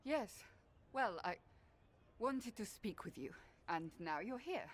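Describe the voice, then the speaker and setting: Earnest, solemn warrior friend. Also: female voice, medium pitch, animated. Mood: hesitant, earnest. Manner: earnest, formal, deliberate phrasing. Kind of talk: video game cutscene acting.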